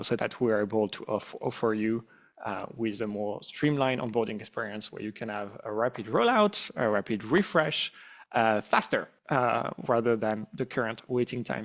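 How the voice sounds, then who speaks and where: A middle-aged man with a French accent, the company founder, giving a relaxed, self-deprecating town hall presentation with frequent fillers. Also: mid-range voice.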